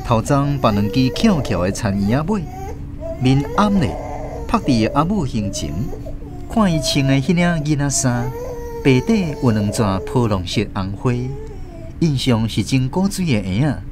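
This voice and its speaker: A man with a Taiwanese accent giving expressive scripted narration as the host of a radio drama. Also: medium pitch, measured pace.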